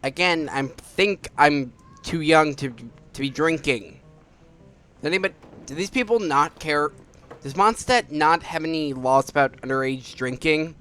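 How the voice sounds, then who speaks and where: A man's voice: a little high, unhurried, a bit bright, soft background music. A man giving informal gameplay commentary, stumbling over his words now and then in a self-deprecating way. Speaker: gaming video host.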